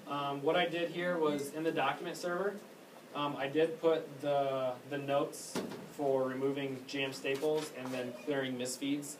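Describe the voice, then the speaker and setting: A man giving informal hands-on training as a technician, talking casually and haltingly and often trailing off. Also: mid-range voice. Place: indoor room at an elementary school. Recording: distant mic, echoey room, clear background noise.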